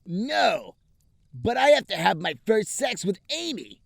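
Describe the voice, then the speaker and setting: A man voicing a whiny, dramatic teenage hedgehog boy in a comic story, loud and protesting. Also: high voice, very animated, unhurried, creaky, no room sound, studio quality, pitched above the performer's own voice, exaggerated voice. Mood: upset, tearful.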